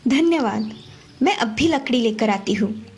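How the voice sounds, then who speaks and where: A woman playing a kind, polite young village girl who speaks earnestly in an animated moral story. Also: fairly high pitch, unhurried, soft background music. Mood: thankful.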